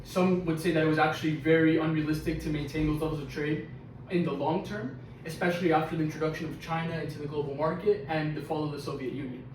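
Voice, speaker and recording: A young man playing an economist who explains things deliberately, recorded from far off in a very echoey room. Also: a little high, steady tone, darkish tone, slight background noise, close to an everyday voice. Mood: explaining.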